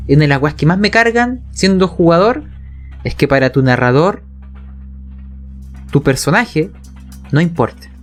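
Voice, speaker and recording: A man with a Chilean accent in a casual, opinionated chat, speaking informally with swearing and frequent check-in tags. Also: fairly high pitch. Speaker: podcast speaker, game narrator. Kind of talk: casual opinionated chat about role-playing games.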